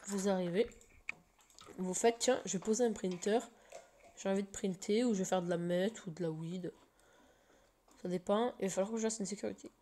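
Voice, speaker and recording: A woman with a slight cold walks viewers through a game at her computer, her voice mellow and dark. She hesitates a lot, filling pauses and restarting sentences as she goes. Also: medium pitch, a little hiss or hum. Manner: hesitant, full of fillers and restarts. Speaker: game tutorial maker. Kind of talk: casual screen-recorded game tutorial.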